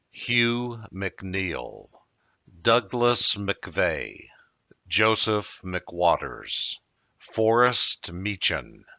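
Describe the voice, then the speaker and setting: On a dry, slightly dull recording, a man reads a formal list of names. His voice is forceful and widely inflected, and he moves extremely slowly from one name to the next. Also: low-pitched, no room sound. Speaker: reader of a memorial roll call.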